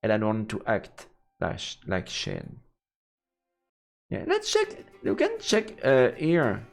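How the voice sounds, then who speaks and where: A man's voice: lowish voice, wildly swooping pitch, slow, creaky, faint music behind. A man live-streaming a game, reading its narration aloud and adding murmured, playful asides. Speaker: let's play streamer.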